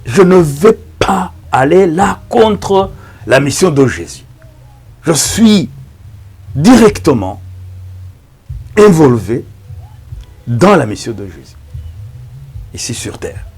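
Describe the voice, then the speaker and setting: A man with a Rwandan accent, a native Kinyarwanda speaker, preaching as a pastor in a halting, emphatic manner with repeated words. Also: fairly high pitch, sing-song, a little mellow, slightly rough, faint music behind. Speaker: pastor and evangelist. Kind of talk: preaching introduction to camera.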